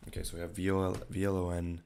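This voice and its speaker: A young man with a raspy voice, thinking aloud in low-key, casual commentary while streaming his day trading live. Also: completely flat delivery, rapid-fire, a little mellow, a little hiss or hum. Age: young adult.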